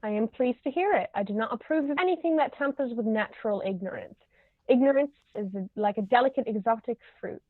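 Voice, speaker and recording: A woman's voice: expressive, a little fast. A woman reading the part of an imperious, snobbish older aristocratic lady in a stage comedy, pompous and formal in manner. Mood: pompous, judging. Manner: pompous, formal, pronouncing judgments. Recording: roomy, muffled low-quality recording.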